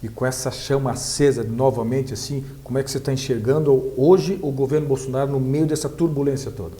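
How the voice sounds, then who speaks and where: A Brazilian male interviewer speaks conversationally with his guest. His voice sits at a middling pitch and has a dark, relaxed tone. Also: a little fast.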